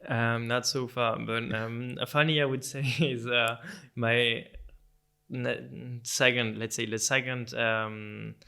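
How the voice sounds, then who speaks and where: A man's voice: mid-range voice, flat delivery, slow pace, creaky, pushed and bright, smooth. A young man from France, a native French speaker, chatting casually as a guest and talking hesitantly with many fillers and restarts. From France (Alsace). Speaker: videographer guest. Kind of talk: casual chat.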